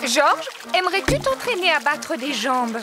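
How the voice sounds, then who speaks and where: High, kindly cartoon mummy pig. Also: female voice, unhurried. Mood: gentle. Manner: polite, caring, expressive. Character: kindly, sensible mummy pig, adult mother. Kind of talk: preschool cartoon dubbing. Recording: music behind the voice.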